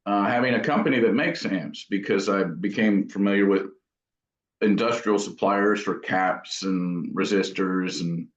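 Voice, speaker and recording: A man's voice: deep voice, a little slow. A middle-aged man giving casual, thoughtful interview answers as an amp builder, hesitating as he reminisces. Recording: roomy, dull lo-fi sound.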